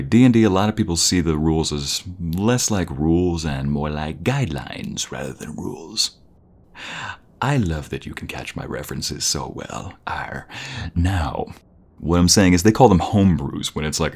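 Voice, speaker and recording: Low-voiced, playful boyfriend, acted by a man. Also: darkish tone. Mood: playful, eager. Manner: playful, teasing, chuckling, rambling. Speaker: audio roleplay narrator. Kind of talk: casual, intimate boyfriend roleplay.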